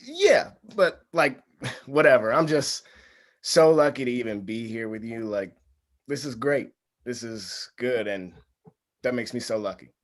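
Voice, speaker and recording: Groom, male, sincere and hesitant, animated intonation, read by an actor at a table read. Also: medium pitch, measured pace, natural voice. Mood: dreamy gratitude. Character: hesitant, agreeable groom, quietly unsure.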